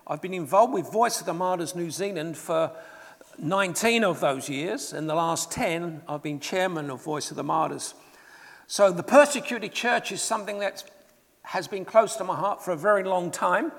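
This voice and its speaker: A middle-aged man with an English accent, preaching earnestly to a church congregation as a guest speaker. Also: fairly bright tone. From England. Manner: earnest, rises in pitch and volume when reading scripture. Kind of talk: sermon. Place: church hall. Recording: long hall reverb in a church.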